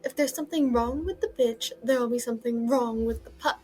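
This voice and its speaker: A woman's voice: fairly high pitch, a little fast, dark tone, breathy edge. A woman playing a haughty, spiteful, domineering middle-aged aunt in an amateur audio drama. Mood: smug contempt. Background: music.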